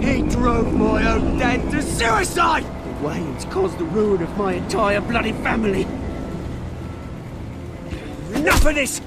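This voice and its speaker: An actor playing a vengeful, taunting British villain in a video game, theatrical and sneering. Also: male voice, sits high, full character voice. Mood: bitter rage. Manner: theatrical, sneering, swinging into outbursts. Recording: loud music under the voice.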